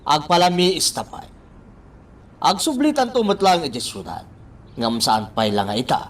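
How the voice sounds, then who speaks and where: A man's voice: a little high, sing-song, moderate pace. A man in a radio drama playing a firm, reassuring figure who takes charge, commanding yet soothing.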